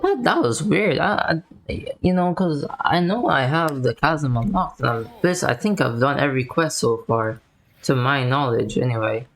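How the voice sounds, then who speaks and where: Very low-voiced woman streaming a game. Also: animated, normal speed, a little hiss or hum. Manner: informal, musing aloud. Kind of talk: casual gameplay commentary. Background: faint game music.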